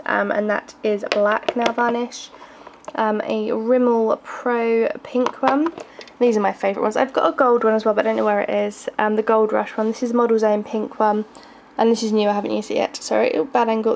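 A woman's voice: on the high side, gravelly. A young woman with a groggy voice, casually showing her makeup collection in her bedroom, with plenty of ums and small laughs. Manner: chatty, hesitant, frequent ums and little laughs. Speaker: beauty vlogger. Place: bedroom at home. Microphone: handheld camera filming from close. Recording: noisy home video recording.

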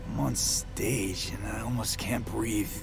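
An actor playing a weary, wounded young mercenary in a video game, talking in terse, blunt, halting street language. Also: male voice, on the low side, steady tone, creaky. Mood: haunted, bitter. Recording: music behind the voice.

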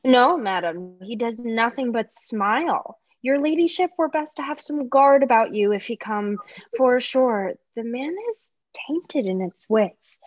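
A woman's voice: on the high side, expressive, a little slow. A young woman playing a mischievous, giggly maidservant with exaggerated delivery in a Shakespeare comedy read over video call. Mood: feigned concern. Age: young adult. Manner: giggly, breaking into laughter, exaggerated. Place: living room sofa, video call. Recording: dull echoey video call audio.

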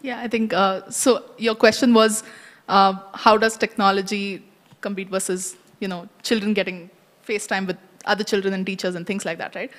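A woman. Her pitch is average, and she explains things conversationally into a handheld mic. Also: fairly even intonation, normal speed. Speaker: panelist. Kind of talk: conversational panel answer. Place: indoor conference stage. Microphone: handheld microphone.

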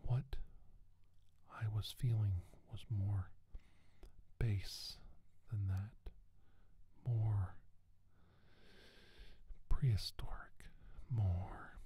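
A man playing a shaken doctor in a whispered ASMR roleplay, recounting an alien abduction in a hushed, halting way. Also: on the low side, fairly even intonation, breathy edge, heavily acted. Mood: haunted, hushed.

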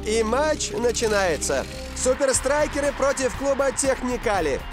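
A man voicing an excitable, dramatic football commentator in an animated cartoon. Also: very high-pitched, measured pace, music in the background, full character voice. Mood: excited. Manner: dramatic announcing.

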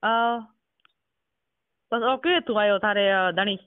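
A man's voice: sits high, very slow, clean tone, no echo. A man with a Rajasthani accent in a casual phone conversation, asking questions and repeating words back. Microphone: telephone. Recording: tinny, narrow phone call recording.

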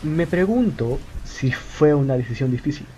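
A man with a Latin American Spanish accent playing a calm, cunning young detective in an amateur film dub, deliberate and accusatory. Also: on the high side, a little hiss or hum, lightly acted. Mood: cool, probing. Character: calm, cunning young male detective confronting his suspect. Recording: music under the dialogue. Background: background music.

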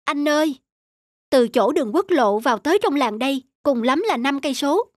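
A woman's voice: high voice, expressive, moderate pace, no echo, studio quality. A woman playing an earnest, decisive female doctor, speaking emphatically in a cartoon drama. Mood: indignant.

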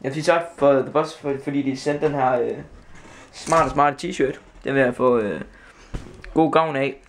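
A teenage boy from Denmark vlogging casually to a handheld camera, rambling with lots of fillers and restarts. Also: medium pitch. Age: teens. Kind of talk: casual vlog chat. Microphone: handheld camera at arm's length. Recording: loud background noise.

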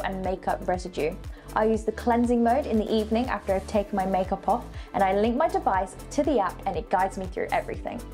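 A woman's voice: mid-range voice, a little mellow. Enthusiastic young woman presenting. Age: young adult. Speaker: presenter of a luxury home tour show. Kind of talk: presenting a house tour. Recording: light background music. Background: faint music.

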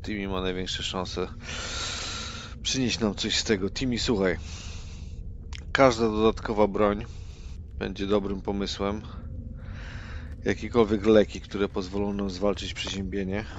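A man's voice: fairly low pitch, little pitch movement, measured pace, long pauses. A man playing a tabletop game, casually talking in character and giving plain-spoken advice. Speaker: tabletop roleplay player. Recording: dull audio with faint noise.

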